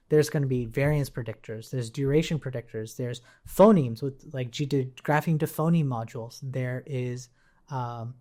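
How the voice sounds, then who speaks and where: A woman's voice: a bass voice, warm tone. A woman giving a casual technical screencast tutorial as an instructor, informally checking in with the listener as she explains. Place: indoors at a computer.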